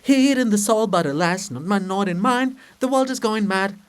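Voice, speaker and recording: A man's voice: high voice, animated, normal speed, smooth, voice pushed up. A man performing a lamenting chorus that prophesies doom in a stage tragedy, declamatory and incantatory. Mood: foreboding.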